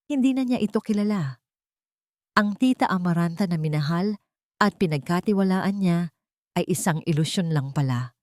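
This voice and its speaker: A woman's voice: on the low side, darkish tone, no echo, clean studio sound. A woman with a Filipino accent narrating a scripted audio drama as a dramatic storyteller.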